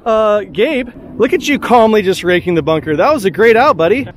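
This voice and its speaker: A man's voice: high voice, lively intonation, brisk, slight background noise. A man bantering on a golf course, upbeat and full of exclamations. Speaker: golf vlogger.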